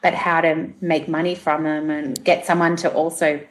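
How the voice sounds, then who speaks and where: A woman's voice: sits low, little pitch movement, moderate pace, poor audio. A middle-aged woman from New Zealand, chatting as an interview guest, laughing often, with lots of fillers and restarts. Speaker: podcast guest, TV producer and director. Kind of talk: casual interview chat.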